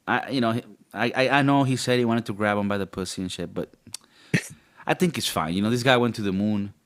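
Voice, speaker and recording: A man with a raspy voice talking as a podcast co-host in a rambling, joking, casually profane way. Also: lowish voice, brisk.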